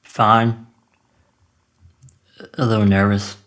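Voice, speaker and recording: A man's voice: lowish voice, level tone, extremely slow, noisy background. A man playing a withdrawn, depressed teenage son in an audio drama, speaking tersely and hesitantly. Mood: nervous. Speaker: actor in a scripted podcast drama. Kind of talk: naturalistic audio drama acting.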